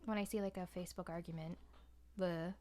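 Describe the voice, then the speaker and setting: A woman's voice: on the low side, fairly even intonation, fairly quick, a little mellow. A woman chatting casually and giving her opinions as a podcast guest, informal and free with swearing.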